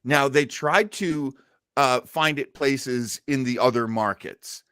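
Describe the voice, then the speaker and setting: A man's voice: medium pitch, takes it slowly, sharp tone, smooth, no room sound, clean studio sound. A man from the US East Coast hosting a show, explaining things in a casual, chatty way. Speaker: show host.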